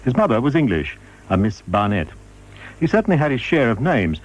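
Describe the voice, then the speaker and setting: A man reading scripted radio documentary narration in a measured, formal manner. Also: mid-range voice, big pitch swings, highs cut off.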